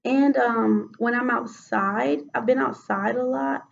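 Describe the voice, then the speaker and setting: Woman, raspy, heavily gravelly, fairly high, hesitant, lo-fi recording. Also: steady tone, slow pace. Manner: hesitant, many fillers and restarts. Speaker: mock patient in a role-play case study. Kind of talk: role-played clinical interview.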